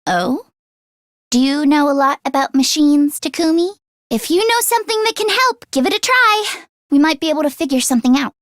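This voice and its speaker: A woman voicing an earnest, squeamish teenage schoolgirl mechanic in an anime game, expressive and quick to exclaim. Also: sits high, no echo, clean studio sound. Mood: relieved, gentle.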